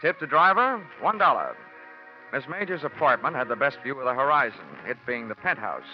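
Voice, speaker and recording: A man's voice: medium pitch, lively intonation, fairly quick, close to an everyday voice. Guy acting as sardonic 1940s radio detective, dry wisecracks, dull old recording w music. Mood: dry, wry. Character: wry, wisecracking male freelance insurance investigator. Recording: muffled 1940s radio broadcast, music behind.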